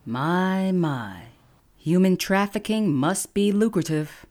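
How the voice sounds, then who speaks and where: An actress playing a droll, mischievous, unflappable sidekick in an audio drama. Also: female voice, lowish voice, animated, slow, a little mellow. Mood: droll, relaxed.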